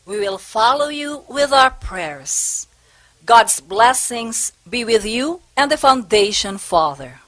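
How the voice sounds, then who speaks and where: A woman playing a devout, composed and firm Spanish prioress in a historical stage play, measured and courteous. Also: a little high, expressive. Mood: reverent.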